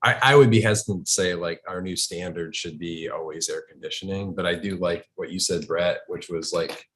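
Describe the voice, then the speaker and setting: A man's voice: low voice, brisk, fairly bright tone. A man talking as a board member in a meeting, deliberating in a tentative, conversational way. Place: indoor board meeting room.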